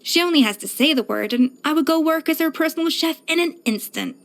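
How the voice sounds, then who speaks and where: An actress voicing a proud, exacting young pastry chef in a video game, poised, self-assured and precise in manner. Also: female voice, high voice, lively intonation, quick pace. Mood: earnest, admiring. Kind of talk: scripted video game character voice lines.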